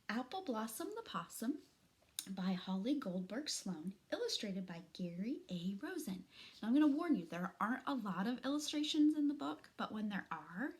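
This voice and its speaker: A middle-aged woman reading a children's storybook aloud in an expressive, dramatic, deliberate way. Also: mid-range voice. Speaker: teacher reading aloud to students. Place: small furnished room at home, indoors.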